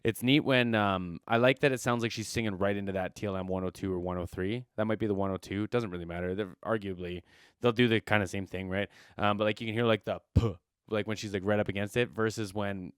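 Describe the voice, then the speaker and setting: A man's voice: on the low side, fairly even intonation, fast, clean tone, no echo, clean studio sound. A man hosting a reaction video who talks as a singer about vocal technique, rambling enthusiastically and repeating himself with many fillers. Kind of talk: casual commentary on a singing performance.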